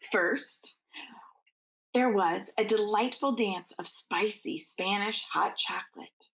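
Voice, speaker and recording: A woman with a noticeably gravelly, creaky edge reads a children's story aloud. Her pitch is average for a woman and her pace is unremarkable. She speaks informally, as a teacher does to young students. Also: slow pace, fairly bright tone, low-quality recording.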